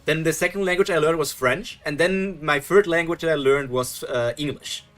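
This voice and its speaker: A man's voice: a little high, moderate pace, fairly bright tone, soft background music. A young man from Germany with a German accent, chatting loosely as he reacts to a webtoon live and answers his chat. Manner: chatty, thinks aloud, restarts and chuckles, answers chat. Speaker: streamer reading webtoons live.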